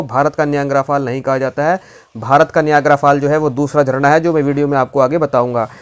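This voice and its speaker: A man's voice: on the high side, clear, resonant tone, dull audio. A man teaching an exam-prep geography lesson over a map, repeating key facts and quizzing the viewer.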